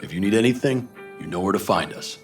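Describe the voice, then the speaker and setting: An actor playing a steady, protective nomad man in a video game, plainspoken and reassuring. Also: low voice, fast, faint music behind. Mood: solemn. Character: steady, kindly male nomad veteran, protective. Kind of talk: naturalistic video game acting.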